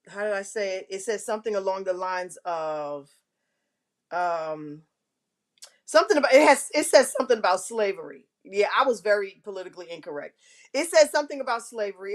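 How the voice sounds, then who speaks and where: A woman with a big voice that carries, talking straight to her viewers as host of her own live show. She tells her story frankly and pokes fun at herself, clearing her throat now and then, at a middling pitch. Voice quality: big, carrying voice. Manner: frank and self-mocking, with frequent throat clearing. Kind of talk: candid personal storytelling to viewers.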